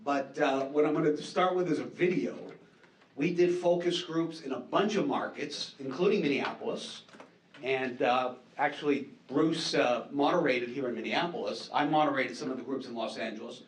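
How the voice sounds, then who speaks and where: A man's voice: mid-range voice, normal speed. A man with a New Hampshire accent giving a casual conference presentation on market research, chatty and self-deprecating with many fillers and repeats. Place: conference hall. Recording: echoey hall, distant mic.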